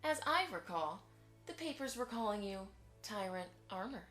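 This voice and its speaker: A woman's voice: medium pitch, expressive, normal speed, fairly bright tone, lightly acted. Woman playing a politely prying pony socialite over music. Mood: probing, insinuating. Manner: formal, courteous, pointedly inquisitive. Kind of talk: fan audio drama acting.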